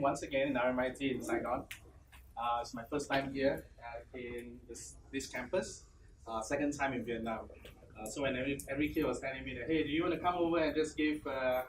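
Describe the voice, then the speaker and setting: A man from Malaysia presents at a conference in a relaxed, chatty way, with frequent uhs. The sound is roomy and distant, as if from a big hall, with clear background noise. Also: mid-range voice. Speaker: program manager speaker. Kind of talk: casual conference talk.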